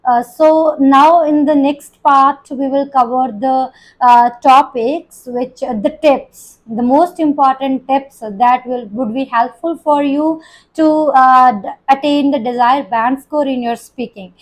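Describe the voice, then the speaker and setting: A woman's voice: high voice, takes it slowly, low-quality recording. A young woman with an Indian English accent with a Punjabi touch, teaching an English-test lesson with many fillers and restarts. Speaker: IELTS coaching teacher. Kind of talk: spoken lesson to students.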